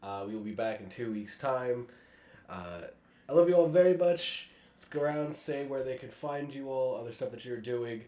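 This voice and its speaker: A man hosting and narrating a tabletop game as game master, playful and excitable, in a casual style. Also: medium pitch, normal speed. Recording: echoey room.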